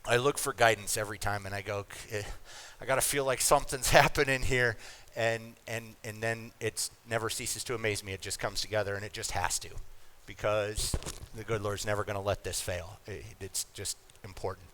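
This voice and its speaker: A man's voice: lowish voice, fairly even intonation, moderate pace, few pauses, sharp tone, low-quality recording. Man giving an informal introduction and prayer. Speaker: show leader introducing and praying.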